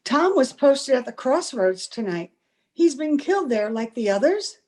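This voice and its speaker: A woman's voice: high voice, big pitch swings, normal speed, a little mellow. A woman playing an anxious, grieving young sister in an old time radio drama, earnest and questioning, recorded over a video call. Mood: alarmed, anxious. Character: worried, grief-stricken young woman, sister of a slain trooper.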